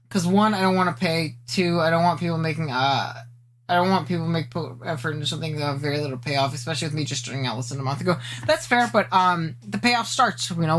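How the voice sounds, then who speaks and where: A young man streaming live, his voice light and high rather than deep. He rambles and restarts, ribs his viewers and laughs at himself, and a faint hum sits under him. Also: a bit bright. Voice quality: high-pitched, not deep. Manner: rambling, self-deprecating, teasing chat. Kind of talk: casual live-stream reaction chat.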